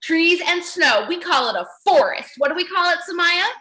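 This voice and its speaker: Lady teacher doing a kids read aloud, super bright voice, high pitched, lots of praise and questions. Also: normal speed. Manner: animated, encouraging praise and questions; repeats children's answers back. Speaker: early childhood teacher. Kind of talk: interactive children's read-aloud lesson.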